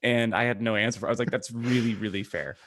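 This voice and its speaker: A man's voice: medium pitch, steady tone, fast talker, a bit bright, no echo, studio quality. A man co-hosting a podcast, chatting casually and informally. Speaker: podcast co-host. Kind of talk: casual chat.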